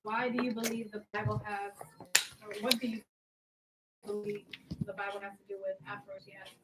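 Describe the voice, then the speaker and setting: A woman asking questions softly and hesitantly, recorded from further away than the main speaker. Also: medium pitch, lively intonation, normal speed, slightly rough, no room sound, low-quality recording. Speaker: question asker.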